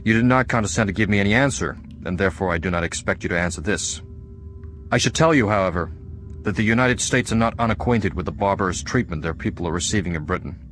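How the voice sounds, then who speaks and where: A man's voice: low voice, a bit bright, a little hiss or hum. An actor playing a dignified, indignant elder American statesman reading letters formally in a radio drama. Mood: dignified reproach. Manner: formal, measured.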